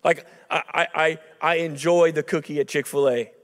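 Man, thirties, chapel preacher, high voice, handheld mic, long hall reverb, conversational with punchy emphasis. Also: steady tone, normal speed. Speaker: chapel speaker. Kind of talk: sermon. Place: large dark auditorium stage at a lectern. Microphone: handheld microphone.

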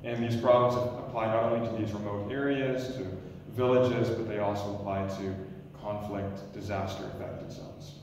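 Loud man pitching in an echoey hall. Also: moderate pace, few pauses, background noise. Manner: loud, energetic, frequent restarts. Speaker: emergency doctor pitching a startup. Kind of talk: pitch presentation. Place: lecture hall, indoors. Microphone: stand mic, recorded from far camera. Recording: echoey lecture hall from far off.

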